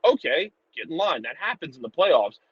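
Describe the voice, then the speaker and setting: A man's voice: on the high side, big pitch swings, moderate pace, breathy edge, no room sound, highs cut off. A man giving casual sports analysis in an informal, conversational way, repeating words for emphasis. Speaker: soccer show commentator.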